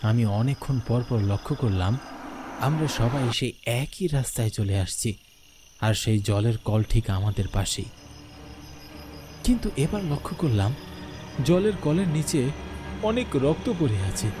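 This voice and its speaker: A man's voice: mid-range voice, lively intonation, a little slow, pauses often. Male narrator, mid-range, for first-person scripted horror tale; light night-insect noise behind. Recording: background noise with night crickets.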